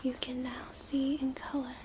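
A young woman whispering a gentle, soothing ASMR role play, reassuring the listener up close in a small room. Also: high-pitched, monotone, normal speed, noisy background. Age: young adult.

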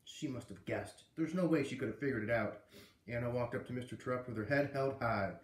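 A man's voice: mid-range voice, brisk. Man reading aloud in an echoey room. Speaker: read-aloud host. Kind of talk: casual storybook read-aloud with chatty asides. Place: living room, indoors. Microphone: camera a few feet away. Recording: roomy, echoey home recording.

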